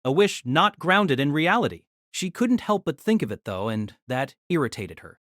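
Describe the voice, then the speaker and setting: A narrator reading a novel aloud in an expressive storytelling manner. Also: mid-range voice, moderate pace, dry recording, clean studio sound.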